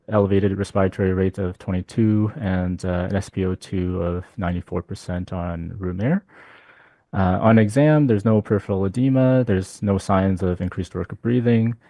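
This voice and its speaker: A man's voice: deep voice, moderate pace, warm tone, no room sound. A man presenting a clinical case in a medical webinar, with frequent ums and uhs. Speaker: physician speaker.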